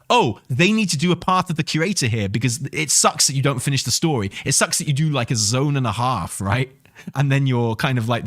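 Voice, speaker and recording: A man's voice: a little high, a bit bright, dry recording, clean studio sound. A man giving casual, enthusiastic gaming commentary, thinking aloud in an emphatic, conversational way and repeating himself for emphasis. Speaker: video game commentator and host.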